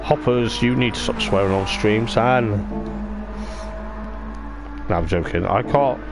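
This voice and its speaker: A man's voice: lowish voice, measured pace, creaky, over music. A man hosting a casual sim racing livestream as commentator, chatty and self-mocking, his strained voice starting to give out. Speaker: livestream host and race commentator.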